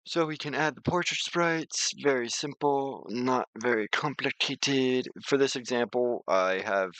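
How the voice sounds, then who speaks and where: A man presenting an informal software tutorial, rambling a little, repeating phrases and thinking aloud. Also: medium pitch, measured pace, dry recording, low-quality recording. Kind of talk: informal screen-share software demonstration.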